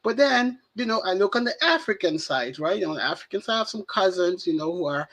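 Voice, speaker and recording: A man's voice: sits high, lively intonation, a little fast, a bit bright. A man with a French accent, co-hosting a casual discussion and talking in an easygoing way, often asking "right?". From France. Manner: conversational, frequent "right?" and "you know" tags. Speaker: podcast co-host.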